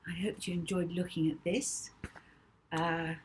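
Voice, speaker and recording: A woman author reading her children's novel aloud with dramatic, deliberate emphasis. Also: fairly low pitch, flat delivery, a little hiss or hum. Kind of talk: expressive children's audiobook narration.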